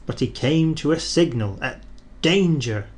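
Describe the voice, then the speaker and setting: A man reading a children's storybook aloud, expressive and measured. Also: on the high side.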